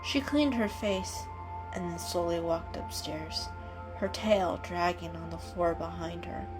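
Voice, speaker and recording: A woman giving an expressive, dramatic reading of a children's animal story as a storyteller. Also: lowish voice, a little slow, poor audio. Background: background music.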